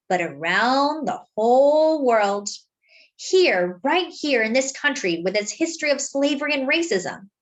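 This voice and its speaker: A woman reading a children's picture book aloud, with dramatic, deliberate phrasing and drawn-out words. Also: sits high, a bit bright, dull audio. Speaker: children's story reader.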